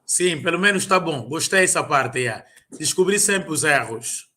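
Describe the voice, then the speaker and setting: Slow, bright-voiced man tutoring numbers. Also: on the high side, animated. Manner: patient, repeats words slowly and drills. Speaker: language tutor. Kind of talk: informal one-on-one lesson.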